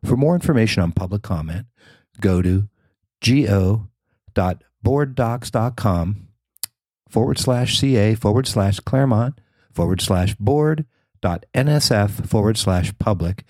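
A man's voice: lowish voice, lively intonation, a little slow, a little mellow, dry recording. A man reading scripted news narration as a podcast host and reporter.

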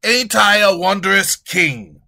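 A man's voice: expressive, takes it slowly, bright, forceful tone, heavily acted. A man voicing a pompous, lazy, rude king who bellows insults at his servant. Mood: blustering. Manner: bellowing, insulting, shrill when panicked.

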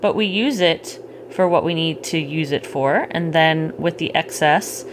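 A middle-aged woman chatting casually with a child at bedtime, gently explaining things and checking in with questions. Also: low voice, measured pace. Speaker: parent hosting a bedtime story podcast. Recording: clearly noisy home recording.

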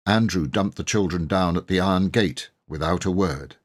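A man's voice: low-pitched, normal speed. A man narrating a children's story from a script as an audiobook reader.

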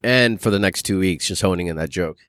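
A man's voice: low-pitched, fairly quick, fairly bright tone, no echo, clean studio sound. A man hosting a solo podcast, rambling casually and loosely in informal, sweary language like an amateur comedian.

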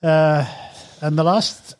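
A middle-aged man with a husky voice, chatting casually as a podcast host, halting with fillers. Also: fairly high pitch, flat delivery. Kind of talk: casual chat.

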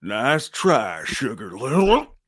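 A man voicing a crude, belligerent hulking comic-book villain with a raspy voice in radio theatre. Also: medium pitch, very animated, slow pace, a bit bright, heavily acted. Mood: taunting. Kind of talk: live radio theatre read.

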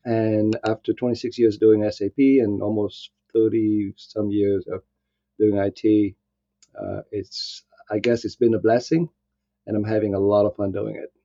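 A man's voice: on the low side, little pitch movement, normal speed, dark tone, dry recording, lo-fi sound. A man in his sixties who grew up in Vietnam, answering interview questions in a reflective way, with many fillers and restarts. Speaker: guest.

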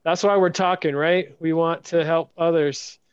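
A man hosts a podcast interview in a soft, hesitant manner and often restarts his sentences. His voice sits a bit high. The audio is dry and dull, as if muffled. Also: steady tone, moderate pace, clean tone, no room sound. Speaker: podcast host. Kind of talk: casual interview chat.

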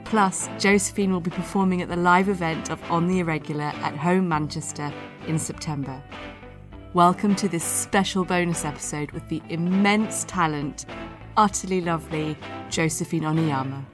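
A woman's voice: lowish voice, normal speed. Woman reading a podcast intro over music. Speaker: podcast host. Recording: clear music bed under voice.

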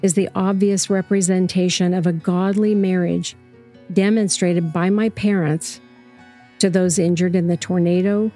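A woman reading a scripted first-person story as narrator, in a measured, reflective way. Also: on the low side, level tone, mellow, faint music behind.

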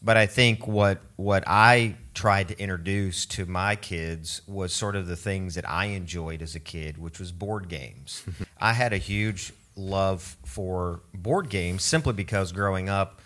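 A man who directs a summer camp, speaking in an earnest, measured way in a conversational interview. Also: sits low, fairly bright tone. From grew up in Switzerland and Oregon. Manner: measured, earnest, some hesitation.

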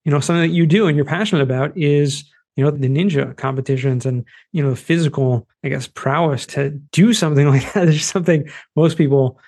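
A man's voice: on the high side, quick pace, few pauses, a little mellow. A man with a Boston accent hosting a podcast interview, chatty and rambling with lots of fillers. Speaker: podcast host. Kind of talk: casual interview chat.

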